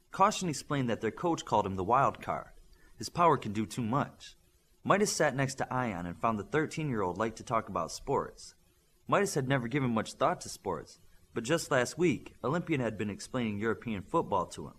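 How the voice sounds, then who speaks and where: A man reading a novel aloud as a scripted audiobook narration, with expressive delivery. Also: medium pitch, husky.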